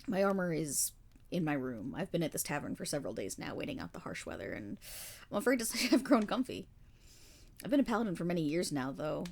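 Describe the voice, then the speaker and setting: A woman acts out a seasoned female paladin in a fantasy audio roleplay. Her voice sits a little low and moves with lively, sweeping intonation. She is kindly and earnest, weighted with regret, and tells her tale in formal, measured phrases. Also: vocal fry, full character voice. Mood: friendly, curious. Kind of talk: fantasy roleplay audio monologue addressed to the listener.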